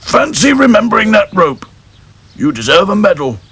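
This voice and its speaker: A man voicing a hearty country farmer calling out loudly. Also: sits high, sing-song, normal speed, slight background noise, voice pushed up.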